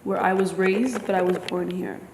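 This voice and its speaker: A woman answers with tentative self-deprecation, keeping her tone flat. A large hall's reverb wraps her voice, with a faint hiss beneath. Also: mid-range voice, moderate pace. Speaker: audience member. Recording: echoey hall, faint noise.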